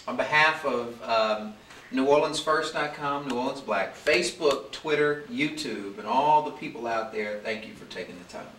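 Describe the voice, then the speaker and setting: A man in his forties from the Midwest hosts a relaxed coffee-style interview, asking questions with chatty enthusiasm. He sounds a little far from the mic in a roomy space, with faint hiss behind. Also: mid-range voice, moderate pace. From American Midwest. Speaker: interview host. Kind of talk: casual interview. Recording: echoey room, distant mic, faint hiss.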